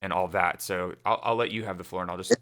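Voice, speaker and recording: A man's voice: sits low, fairly even intonation, quick pace, sharp tone. A man hosting a casual livestream interview, asking long, rambling, eager questions. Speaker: livestream host.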